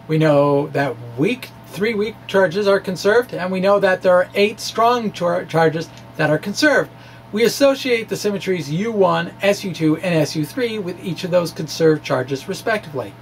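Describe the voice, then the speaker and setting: A man presenting a physics lecture informally, with animated emphasis and a halting delivery full of stammered restarts. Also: high-pitched, a little hiss or hum, rough recording. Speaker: amateur physicist presenting his own theory. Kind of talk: informal physics lecture, reading of a blog talk.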